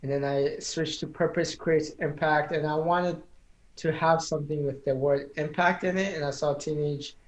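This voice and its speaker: A man's voice: fairly high pitch, steady tone, normal speed, reverberant. A man who is a public speaking coach, speaking as an interview guest in an earnest, motivational, speech-like way; English is not his first language. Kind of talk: casual interview chat.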